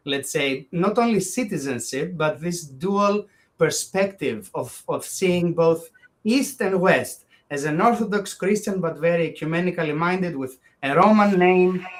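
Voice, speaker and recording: A man's voice: fairly high pitch, unhurried. A man from Greece with a Greek accent, speaking as an interview guest in long, earnest, winding sentences that often restart. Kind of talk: conversational interview.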